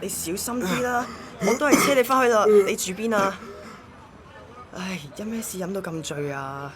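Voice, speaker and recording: A woman's voice: medium pitch, moderate pace, fairly bright tone, slight background noise, lightly acted. A woman with a Hong Kong Cantonese accent playing an obsessively devoted young male courier in a radio drama. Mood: friendly, solicitous.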